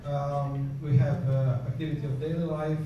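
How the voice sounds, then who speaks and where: A man with a Croatian accent presents to a conference in English, his second language. His delivery is hesitant, full of fillers and restarts, in a somewhat high voice. He sounds far from the microphone in a very echoey hall, with steady background noise. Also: muffled recording. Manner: halting, many fillers and restarts.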